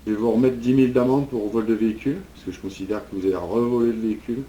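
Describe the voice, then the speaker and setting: A man playing a mocking, vulgar cop in a game roleplay. His voice is dark and fairly low, and he repeats himself with sardonic relish. The voice chat has noticeable noise. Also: steady tone, very fast, close to an everyday voice. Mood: mocking, official. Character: sarcastic, mocking male police officer handing out penalties. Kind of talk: improvised online game roleplay. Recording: clearly noisy game voice chat.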